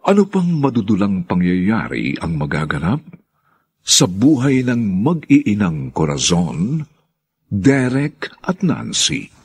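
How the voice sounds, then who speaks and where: A man's voice: lowish voice, huge pitch swings, slow, a little mellow, slightly rough. A man with a Filipino accent announcing a radio drama in dramatic scripted narration.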